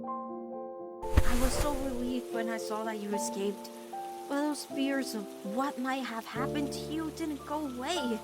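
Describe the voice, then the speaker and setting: A woman voicing an anguished, devoted teenage girl in an emotional cartoon fan dub, with dramatic, halting, pleading delivery. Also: high-pitched, loud music behind the voice. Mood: haunted, subdued. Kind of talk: emotional animated-series fan comic dub.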